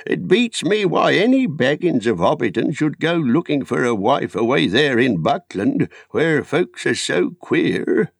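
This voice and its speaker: High, querulous old hobbit gossip. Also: male voice, big pitch swings, a little slow, vocal fry, hardly any pauses, husky, dry recording, heavily acted. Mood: suspicious, gossipy. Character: old male village hobbit, nosy, suspicious gossip.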